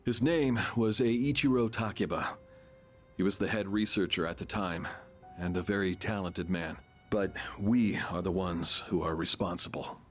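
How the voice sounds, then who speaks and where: A man voicing a remorseful, formal older authority figure in a video game, speaking in measured, sombre words. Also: low-pitched, soft background music, natural voice. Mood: sombre, regretful. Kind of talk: dramatic game voice acting.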